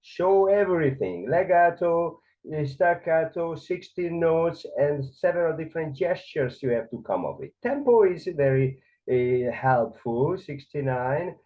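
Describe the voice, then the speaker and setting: A man's voice: on the high side, animated, a little slow, hardly any pauses. A man with a Hungarian accent teaching conducting, explaining the beats and sometimes counting or singing the rhythms aloud. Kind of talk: explanatory music lesson. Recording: dull lo-fi sound.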